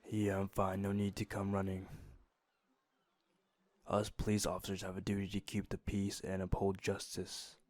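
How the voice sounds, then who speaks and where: Man playing a low, menacing bully cop. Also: monotone, darkish tone, no room sound. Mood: cold, intimidating. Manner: deliberate, menacing, condescending. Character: predatory, bullying male police officer. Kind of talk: fan-dub dramatic acting.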